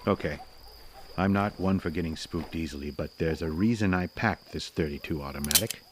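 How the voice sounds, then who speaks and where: A man's voice: deep voice, normal speed, very noisy, lightly acted. A man playing a plucky, wary young amateur sleuth with breezy old-time film talk in an audio drama. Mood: wary, muttering. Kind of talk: audio drama re-creation of 1930s mystery film.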